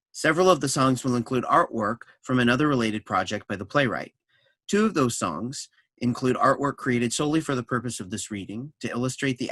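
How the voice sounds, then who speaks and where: A man reading scripted introductory notes and stage directions as a narrator, in a measured, explanatory way. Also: medium pitch, fairly bright tone, no room sound.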